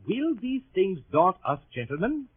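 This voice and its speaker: An actor playing an earnest, pompous English gentleman club leader, orating formally in vintage radio drama style. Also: sits high, sing-song, measured pace, husky, exaggerated voice. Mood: pompous, solemn. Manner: orotund, oratorical, formal. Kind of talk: 1930s radio acting. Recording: tinny old radio broadcast.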